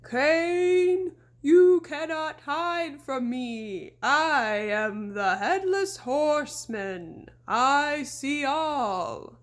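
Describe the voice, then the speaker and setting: A woman doing a slow, moaning ghostly horseman. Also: high voice, lively intonation, pitched above the performer's own voice, heavily acted. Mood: menacing.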